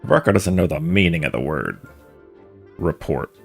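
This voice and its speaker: A man streams a game with a low, gravelly voice full of fry. His commentary is casual, dry and offhand, with faint music underneath. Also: expressive, moderate pace. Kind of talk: casual live playthrough commentary.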